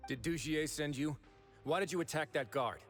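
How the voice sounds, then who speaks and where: A man voicing a calm, commanding prison warden in a game who speaks in a measured, authoritative way, heard as game audio with music underneath. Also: a little high.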